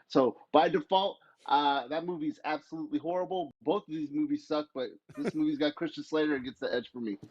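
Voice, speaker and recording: A man's voice: a little high, a little fast, few pauses, breathy edge, dry recording, highs cut off. A man giving casual, blunt and emphatic commentary as a podcast panelist, swearing freely.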